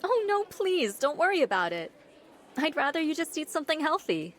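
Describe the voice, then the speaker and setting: A woman's voice: high-pitched, big pitch swings, moderate pace. A woman voicing a prim, refined rich schoolgirl in a visual novel, speaking formally and courteously with careful wording. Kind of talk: visual novel dub acting.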